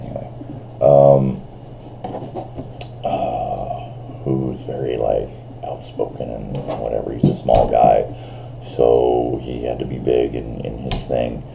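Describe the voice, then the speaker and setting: Very deep gravelly man in his fifties. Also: flat delivery, takes it slowly, long pauses, highs cut off, noisy background. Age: 50s. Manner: rambling, lots of fillers, self-described big loud voice. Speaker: vlogger. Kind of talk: rambling casual vlog monologue. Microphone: camera on a screen in front of him.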